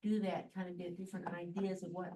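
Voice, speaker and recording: A woman trainee adding to a group discussion in a soft, rambling way. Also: fairly low pitch, completely flat delivery, fast. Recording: echoey room, far from mic.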